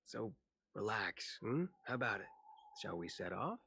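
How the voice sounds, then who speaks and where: An actor playing a reluctant, evasive orderly in a video game, talking as if keeping a deal quiet. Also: male voice, fairly low pitch, very animated, a little slow, creaky, husky, full character voice. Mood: furtive.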